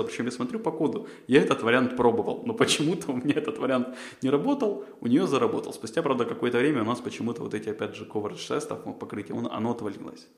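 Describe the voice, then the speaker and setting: A man's voice: mid-range voice, roomy sound. A man, a podcast co-host, answering interview questions in a rambling way, with many fillers and restarts. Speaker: job candidate, podcast co-host.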